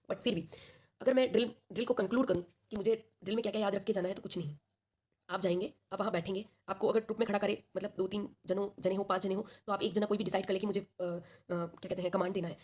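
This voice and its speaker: A woman teacher talks very fast in a husky, slightly breathy voice, sore-throated and chatty. She reassures her students and keeps checking in with them over a video call. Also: mid-range voice, darkish tone. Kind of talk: online class coaching students for an exam.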